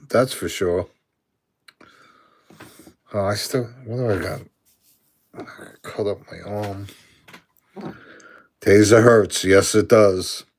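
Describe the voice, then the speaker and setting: A man in his sixties from Brooklyn, New York, with a Brooklyn accent, chatting casually on a live stream, answering viewer comments aloud and chuckling often. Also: fairly low pitch.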